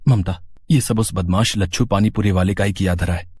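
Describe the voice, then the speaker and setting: A man's voice: deep voice, steady tone, brisk, darkish tone, breathy edge. A man playing an honest, aggrieved poor street food vendor with a plaintive, protesting manner in a story. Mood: despairing.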